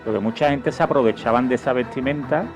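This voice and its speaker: A man's voice: medium pitch, fast talker, soft background music. A man making casual, brief interjections in a radio conversation.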